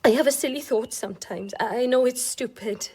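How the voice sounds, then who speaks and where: A high, husky, vulnerable young wife. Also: female voice, brisk, darkish tone. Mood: confiding, uneasy. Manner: confiding, vulnerable. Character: troubled, lonely young wife confiding her doubts. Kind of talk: radio drama acting.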